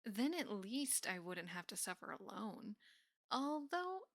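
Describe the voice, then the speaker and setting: A young woman playing a teasing, affectionate college best friend in an intimate roleplay audio, speaking softly and often slipping into whispers. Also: mid-range voice, very animated, measured pace, no room sound, clean studio sound. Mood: wistful, playful. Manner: soft, often dropping into whispers, sighing. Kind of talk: intimate roleplay audio acting, casual and soft.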